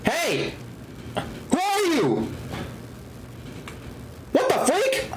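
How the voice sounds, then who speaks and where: A man's voice: very high-pitched, huge pitch swings, drawn-out words, poor audio. A man live streaming a video game, reading dialogue aloud and reacting casually with exclamations.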